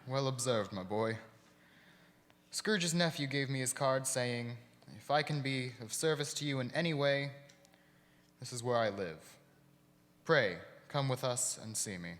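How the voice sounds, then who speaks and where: An actor playing a gentle, grieving father and husband in live radio theater, speaking tenderly and with measure. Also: mid-range voice, measured pace, long pauses, lightly acted. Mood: gentle, wistful. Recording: reverberant hall.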